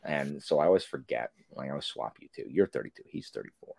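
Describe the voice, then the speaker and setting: Animated rambling man in his forties. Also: extremely low pitch, quick pace, vocal fry, husky, no room sound. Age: 40s. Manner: animated, rambling, self-interrupting. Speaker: podcast guest. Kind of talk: casual video-call chat.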